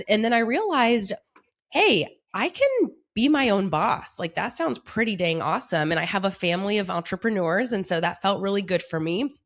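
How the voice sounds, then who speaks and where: A woman's voice: mid-range voice, a bit bright. A woman in her thirties from Montreal, a native French speaker, chatting candidly as a guest on a video-call interview. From Montreal, Canada. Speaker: wedding planner, guest. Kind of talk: casual interview chat.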